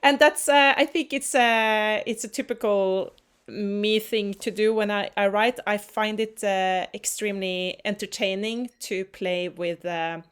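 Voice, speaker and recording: Woman, from Norway, Norwegian native speaker, folk musician, halting word searches, casual interview talk. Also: medium pitch, fairly even intonation, smooth. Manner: searches for words, many restarts and fillers.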